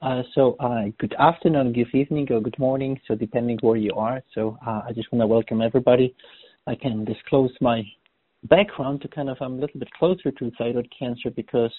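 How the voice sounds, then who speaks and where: A man's voice: mid-range voice, steady tone, a little fast. A man from Poland with a Polish accent gives an informal medical webinar talk as an oncologist, often rephrasing as he goes. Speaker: oncologist presenting. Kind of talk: webinar presentation. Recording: thin phone-like webinar audio.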